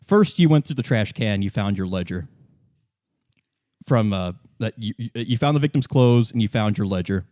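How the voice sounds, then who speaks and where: A man's voice: lowish voice, lively intonation, normal speed, warm tone, dry recording, clean studio sound. A man running a tabletop game as game master, narrating casually and often restarting his sentences.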